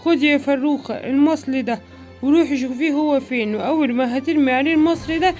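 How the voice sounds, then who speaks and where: A woman's voice: high-pitched, fast, a little mellow, soft background music, close to an everyday voice. An Egyptian woman voicing a grudging animal doctor who gives instructions in a cartoon. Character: gruff reluctant animal doctor.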